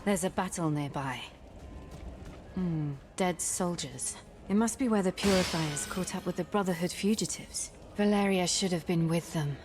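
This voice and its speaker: A woman's voice: lowish voice, normal speed, music in the background, natural voice. A woman voicing a sceptical, resolute heroine in a fantasy game, with a dry, sardonic manner. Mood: musing, wry. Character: wry, sceptical young female fantasy champion.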